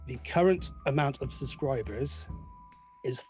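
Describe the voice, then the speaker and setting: A man's voice: medium pitch, expressive, measured pace, over loud music. A man, a guest quizmaster talking casually and explaining things step by step in an easygoing way. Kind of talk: casual quiz hosting.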